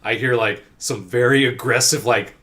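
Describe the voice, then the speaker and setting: A man's voice: medium pitch, steady tone, normal speed, bright, forceful tone. A man hosting a podcast, casually telling an anecdote with plenty of quoted dialogue and swearing. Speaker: podcast host.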